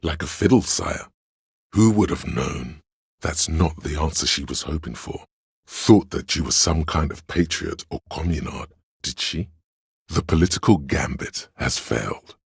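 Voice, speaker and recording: A very deep, gravelly, courtly schemer. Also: male voice, a little slow, no room sound, clean studio sound, exaggerated voice. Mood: theatrical, scheming. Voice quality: gravelly, husky. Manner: dramatic, archaic, declamatory. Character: grandiose inner voices of a detective, scheming and courtly. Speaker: voice actor. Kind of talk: theatrical video game voice lines.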